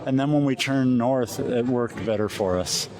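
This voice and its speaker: An American man in a casual interview as a pilot, recounting things in a halting way with frequent pauses mid-phrase. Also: medium pitch. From Alaska, USA. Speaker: competition glider pilot being interviewed. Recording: crowd chatter in the background.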